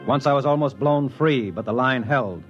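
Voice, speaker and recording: A man's voice: medium pitch, moderate pace, close to an everyday voice. An actor playing a resolute, practical spaceman in a 1950s radio drama, speaking decisively and dramatically. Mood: grave, tense. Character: resolute, practical spaceman narrating his storm ordeal. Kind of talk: 1950s radio drama acting, with first-person narration. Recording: muffled old radio recording with music.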